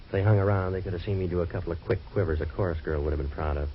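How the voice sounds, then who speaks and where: A man's voice: low voice, rapid-fire, lightly acted. An actor playing a sardonic waterfront tough guy who narrates his own story in a 1940s radio drama, firing off dry wisecracks. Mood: narrating. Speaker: hard-boiled narrator and lead. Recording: dull lo-fi old radio recording, faint hiss.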